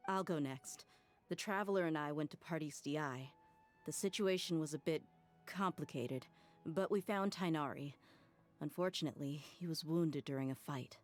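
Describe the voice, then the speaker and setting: A woman playing a plucky, expressive, easily exasperated young ally in a video game, earnest and emphatic. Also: deep voice, normal speed, close to an everyday voice. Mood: earnest, hesitant. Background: game music.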